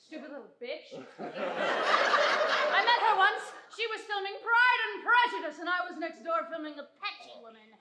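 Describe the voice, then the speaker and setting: Catty, high-voiced actress wife played by a teenage girl. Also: big pitch swings, moderate pace, heavy background noise. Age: teens. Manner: wry, catty. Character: dry, catty aging stage actress wife. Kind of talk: broad stage comedy. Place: theater stage. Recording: echoey theater recording with audience.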